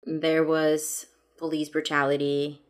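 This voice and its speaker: A woman's voice: deep voice, monotone, takes it slowly. A Mexican woman whose native language is Spanish, co-hosting a podcast in casual chat, talking at length and laughing often. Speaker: podcast co-host.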